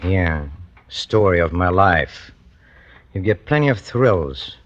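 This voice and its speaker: An actor playing a smug, unhinged gunman in a 1940s radio thriller, speaking in a halting, taunting way. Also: low voice, animated, fairly bright tone, highs cut off, slight background noise, exaggerated voice.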